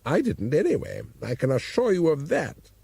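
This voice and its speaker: A prim, frosty elderly spinster reading aloud in a storybook, as a male narrator puts her on. Her voice is lifted well above the reader's own and stays cold and proper. It is husky and dry. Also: high voice, huge pitch swings, moderate pace, dark tone, no echo, voice pushed up, heavily acted.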